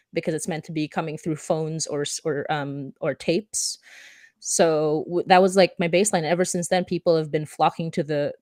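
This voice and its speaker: A woman with a fairly low voice hosts a casual discussion. She talks in a chatty, anecdotal way, and the sound is very dry. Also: no echo. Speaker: podcast host and moderator. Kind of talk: casual chat.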